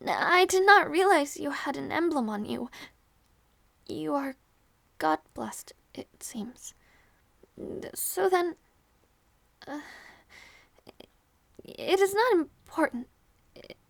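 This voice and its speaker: A woman playing a gentle, easily flustered young princess in an intimate audio roleplay, speaking hesitantly with small stammers. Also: high voice, creaky, no room sound, full character voice. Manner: hesitant, stammering, soft-hearted.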